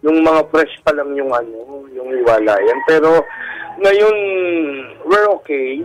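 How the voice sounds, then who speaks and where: A middle-aged man talks about himself as a guest on a radio show. He is polite and drops English phrases into his sentences. Soft music runs behind his voice. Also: on the high side, animated, takes it slowly, rough recording. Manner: polite, uses respectful markers, mixes in English. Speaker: guest caller, bar comedian. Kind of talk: personal story told in a radio interview. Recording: music bed under radio talk.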